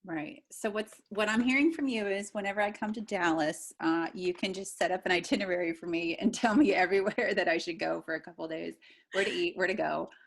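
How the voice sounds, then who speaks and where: A woman's voice: fairly low pitch, a little fast, creaky. A woman hosting an interview panel, asking questions in a friendly, conversational way. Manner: friendly, conversational, easy laughter. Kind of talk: casual interview chat.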